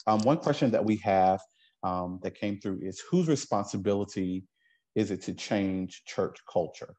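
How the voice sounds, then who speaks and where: A man's voice: fairly low pitch, normal speed, a little mellow. A man in his thirties moderating a webinar Q and A on a video call, friendly and with many ums. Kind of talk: hosting a webinar Q and A.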